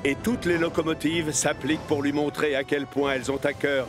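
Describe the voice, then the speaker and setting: A man's voice: a little high, fairly even intonation, normal speed, music in the background. A man narrating a children's cartoon in a scripted storytelling style.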